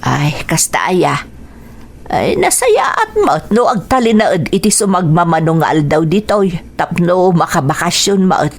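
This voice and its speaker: A middle-aged village mother , voiced by a woman, speaks with an Ilocano accent. She talks fussily and exclaims often. Her voice is slightly low for a woman. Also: sing-song, unhurried, gravelly, heavily acted. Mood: fussy. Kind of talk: radio drama acting.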